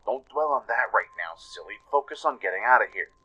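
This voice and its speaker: A man voicing a playful, gently chiding inner voice that encourages the heroine in a radio play. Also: fairly low pitch, lively intonation, brisk, breathy edge, exaggerated voice. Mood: encouraging.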